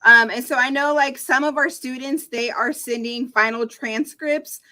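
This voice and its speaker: A woman's voice: high voice, steady tone, normal speed, sharp tone. A woman moderating an online information session, reading out audience questions with frequent ums. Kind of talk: webinar moderation.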